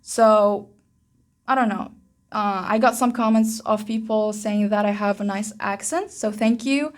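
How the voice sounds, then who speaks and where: A young woman from Algeria with an Algerian accent in English, talking through her views in a casual vlog, pausing often with "um" and "uh". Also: a little high. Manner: thoughtful, pauses often with "um" and "uh". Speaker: channel host.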